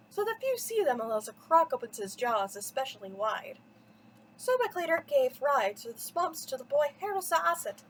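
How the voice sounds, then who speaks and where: A woman's voice: high voice, a little hiss or hum, poor audio. A woman reading a mythology story aloud for children as a storyteller, with dramatic, theatrical emphasis. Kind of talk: expressive bedtime story read-aloud.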